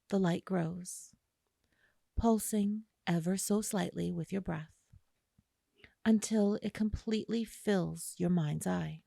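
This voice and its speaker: A woman guiding a listener through an imagery meditation in a slow, soothing way, with long pauses. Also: mid-range voice, creaky, warm tone, no echo, clean studio sound. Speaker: meditation guide. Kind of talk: guided imagery meditation narration.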